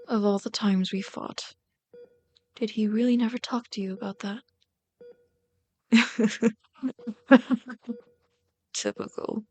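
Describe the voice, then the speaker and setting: A woman voicing a bitter, yearning female villain in an intimate ASMR roleplay, brooding and dramatic, slipping into breathy whispers. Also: medium pitch, dark tone.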